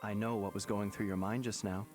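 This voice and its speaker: A man voicing a calm, probing psychologist character in a video game, speaking in a measured, knowing way over game music. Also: lowish voice, natural voice. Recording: game audio with background music.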